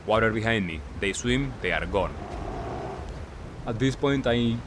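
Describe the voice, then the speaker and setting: A man giving casual gameplay commentary as a recap, talking in short clipped phrases with pauses. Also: on the low side, animated, noisy background. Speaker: gaming video creator.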